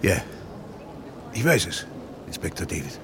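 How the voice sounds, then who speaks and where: A man's voice: low-pitched, big pitch swings, measured pace, full character voice. A man playing a resigned suspect in a 1960s German radio crime drama. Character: resigned suspect facing a police inspector. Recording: noisy archive radio recording with ambience.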